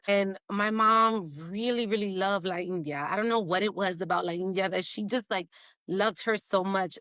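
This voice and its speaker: A woman's voice: medium pitch, a bit bright, dry recording, clean studio sound. A woman from Puerto Rico chatting as a podcast guest, telling stories with lots of casual fillers. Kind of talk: casual interview chat.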